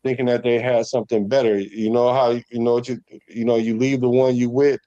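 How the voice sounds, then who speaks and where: A man's voice: mid-range voice, flat delivery, a little fast, warm tone, no room sound. A man from the Bay Area arguing about sports in a casual, emphatic, rhythmic way, full of slang and repeating himself. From San Francisco Bay Area. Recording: dull lo-fi sound.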